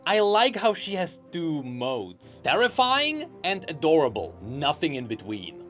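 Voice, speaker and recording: Man narrating game lore, very lively intonation, slightly high voice, soft music behind. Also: a little slow. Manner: chatty, speculative. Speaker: video game lore commentator. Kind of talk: lore commentary voice-over.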